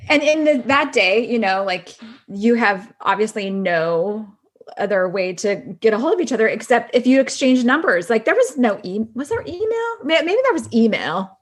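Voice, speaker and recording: A woman's voice: a little high, big pitch swings, normal speed. Earnest woman chatting casually. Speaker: podcast guest, actress. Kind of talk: casual chat.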